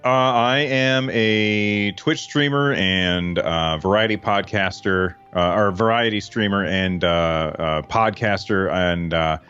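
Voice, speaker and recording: A man's voice: low voice, slow pace, hardly any pauses, a bit bright, clear, resonant tone, muffled recording, soft background music. A man guesting on a podcast who speaks in a hesitant way, full of fillers and restarts. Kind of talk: casual podcast chat.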